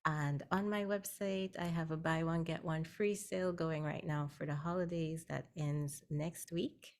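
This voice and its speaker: A woman from Guyana speaks as a guest on a video-call meeting, explaining her services plainly and patiently. Her voice sits very low for a woman, with a gravelly fry running through it. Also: fairly even intonation, a little slow, darkish tone. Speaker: guest, health educator. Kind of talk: virtual networking meeting talk.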